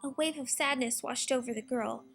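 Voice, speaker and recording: A woman narrates a children's storybook in a high-pitched voice. She reads from the text as a bedtime-tale narrator, with music playing behind her. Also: normal speed, gravelly, fairly bright tone.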